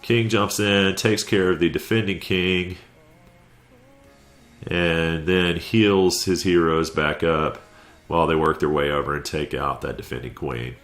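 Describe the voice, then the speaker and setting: Stuffy-nosed man, extremely low voice, easygoing game recap commentary, faint music underneath. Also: fairly even intonation, unhurried, fairly bright tone. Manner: laid-back, conversational, with light chuckles. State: stuffy from a head cold. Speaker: clan war recap commentator. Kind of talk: casual video game play-by-play voice-over.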